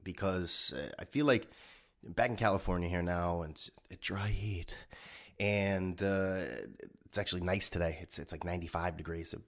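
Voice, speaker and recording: A man's voice: low-pitched, fairly even intonation, moderate pace. A man hosting a solo podcast, talking in a sarcastic, rambling way with a slightly stuffed-up nose. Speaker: podcast host.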